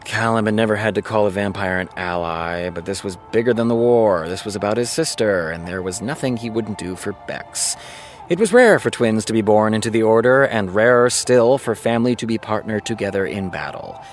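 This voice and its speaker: A man's voice: lowish voice, fairly quick, soft background music, lightly acted. An actor playing an exasperated, sarcastic male TV writer reading prose aloud in an audio drama, with a sardonic manner. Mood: absorbed reading. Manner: sardonic, sighing, incredulous. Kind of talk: reading prose aloud with muttered scornful asides.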